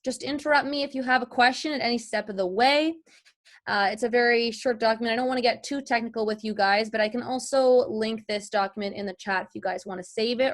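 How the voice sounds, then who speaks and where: A woman with a fairly high voice leads an informal online tutorial. She is chatty and explanatory, talking straight to her students as a guest voice-over instructor. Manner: chatty, explanatory, addresses the group directly. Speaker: guest voice-over artist teaching a class.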